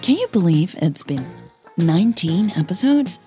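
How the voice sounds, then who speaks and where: A woman's voice: lowish voice, very animated, moderate pace, dark tone, faint music behind. A woman writer narrating her own audio drama series and addressing listeners in a scripted podcast style.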